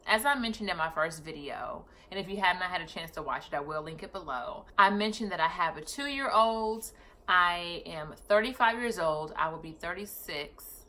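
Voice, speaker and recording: Thirtysomething woman vlogging, lowish voice, bright and punchy, chatty, fixes her own words as she goes. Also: lively intonation, moderate pace. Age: 30s. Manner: chatty, corrects herself mid-sentence. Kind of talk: casual personal vlog storytelling.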